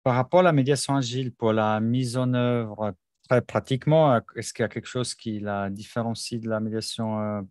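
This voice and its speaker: A man's voice: medium pitch, steady tone, normal speed, darkish tone, dry recording, clean studio sound. A man hosting an interview in French, a native German speaker, chatting and reacting to his guest. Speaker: podcast host. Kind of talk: conversational interview.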